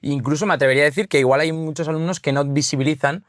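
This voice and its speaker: A man's voice: on the high side, fairly even intonation, rapid-fire, no echo, studio quality. A man with a Basque accent co-hosting a podcast who asks friendly, leading questions and laughs easily. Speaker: podcast co-host. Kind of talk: casual podcast interview.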